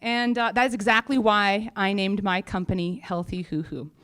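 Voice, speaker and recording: A woman's voice: mid-range voice, fairly even intonation, moderate pace, fairly bright tone, clean tone. A woman company founder giving an informal update on stage, speaking in a frank, humorous, chatty way. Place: on stage at a live event.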